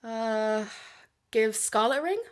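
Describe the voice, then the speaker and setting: A woman's voice: a little high, lively intonation, slow pace, noisy background. A woman playing a supportive, practical friend and co-podcaster in an audio drama.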